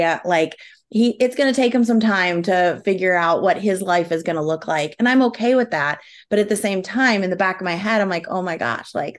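A middle-aged woman, a guest on a parenting podcast, talking earnestly in long explanatory runs with occasional fillers. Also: mid-range voice. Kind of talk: conversational podcast interview.

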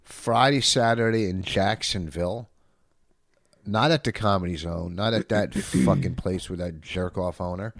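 A middle-aged man, a comedian hosting a podcast, chatting in an animated, jokey, rambling way. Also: low-pitched, measured pace, creaky, no echo, clean studio sound. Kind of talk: casual chat.

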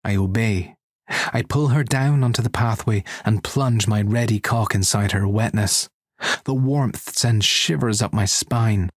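A man narrating an erotic audiobook in an intimate, husky voice. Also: on the low side, a little slow, no echo, clean studio sound. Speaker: story reader.

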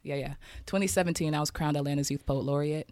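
A man's voice: a little high, steady tone, fast, a little mellow, dry recording. A man in his early twenties with an American accent, talking casually and candidly as an interview guest. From Kansas City, United States. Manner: candid, informal, swearing freely. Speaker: interviewed poet and musician.

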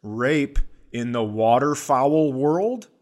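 American man in deadpan comedic chat. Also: medium pitch, slow. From Minnesota, United States. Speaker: comedy podcast co-host.

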